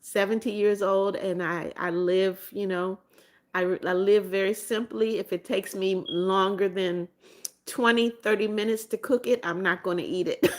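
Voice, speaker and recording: A woman's voice: mid-range voice, fairly even intonation, measured pace. A woman in her seventies hosting a casual livestream. She reads viewer comments aloud and answers them with frequent ums and restarts, and she often repeats her phrases. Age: 70s. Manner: calls herself Mommy Beth and repeats phrases. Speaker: livestream host. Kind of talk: casual live-stream chat.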